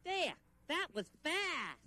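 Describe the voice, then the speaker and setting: A man voicing a childlike, deliberate demonstrator fussing over his toys in a children's educational sketch. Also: very high voice, very animated, bright, forceful tone, exaggerated voice. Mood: triumphant.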